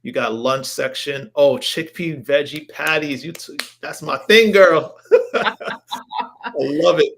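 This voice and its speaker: A man's voice: a little high, takes it slowly, creaky, a bit bright. Enthusiastic, rambling male livestream host. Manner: enthusiastic, rambling, repeats phrases. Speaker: health coach hosting a live show. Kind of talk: casual livestream chat while browsing recipes.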